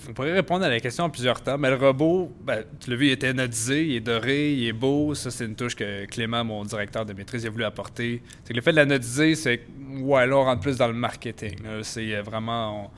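A young man, chatting as a podcast guest in a relaxed, self-mocking way. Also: mid-range voice, a little hiss or hum. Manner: relaxed, self-mocking, uses English slang. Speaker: guest, robotics master's graduate. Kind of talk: casual interview chat.

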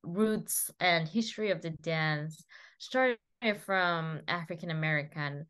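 Guest, female, English, slightly low voice, eager but hesitant and searching for words. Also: slow pace, fairly bright tone, smooth. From South Korea. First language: Korean. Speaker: guest dancer. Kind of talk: casual interview chat.

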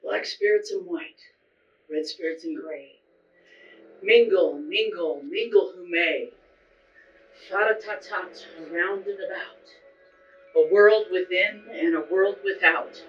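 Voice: mid-range voice, slow, pauses often, soft background music. A person leading a group ritual as seance leader, recorded dull and distant in a roomy space. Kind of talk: guided ritual, incantation.

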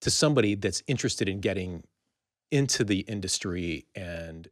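A man's voice: deep voice, lively intonation, normal speed, dry recording, studio quality. A man hosting a podcast, speaking in a casual, conversational interview style.

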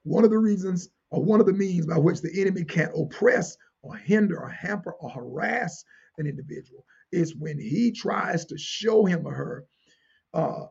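A man preaching a teaching sermon in an emphatic, deliberate way, repeating key phrases and posing rhetorical questions to his listeners. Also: a little high, vocal fry, darkish tone, breathy edge, muffled recording.

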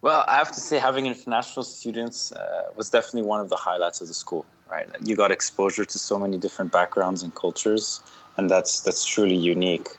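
A man in his thirties from Switzerland, a guest telling relaxed stories in an interview, with fillers and laughter along the way. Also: low voice, quick pace, breathy edge, poor audio. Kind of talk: casual interview.

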